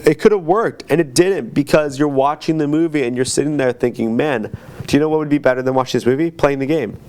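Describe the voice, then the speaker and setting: A man's voice: a little high, fast talker, a little mellow. An opinionated man co-hosting a podcast, arguing his points in a casual chat about movies and games. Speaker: podcast co-host. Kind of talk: casual movie and game debate.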